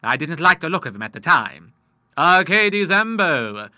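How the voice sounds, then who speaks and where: Man voicing a genial old lawyer, learned, amiable, bright crisp tone, dry sound. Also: a little high, big pitch swings, a little slow, dry recording, voice pushed up, exaggerated voice. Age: older. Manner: genial, fond of Latin tags.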